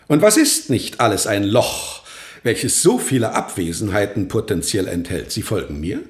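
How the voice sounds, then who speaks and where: An actor playing an eccentric, pompous philosopher in a radio drama, speaking in a professorial, aphoristic manner. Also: male voice, lowish voice, big pitch swings, normal speed. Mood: lecturing. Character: eccentric, pompous male philosopher who renounced possessions. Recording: roomy, echoing sound.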